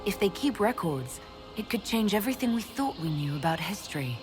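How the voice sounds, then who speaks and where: Composed, inquisitive heroine voiced by an actress. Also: female voice, medium pitch, sing-song, fairly quick, close to an everyday voice. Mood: curious. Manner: plain, direct, questioning. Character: composed, inquisitive young female leader of adventurers. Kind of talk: video game dialogue acting. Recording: game music behind the voice.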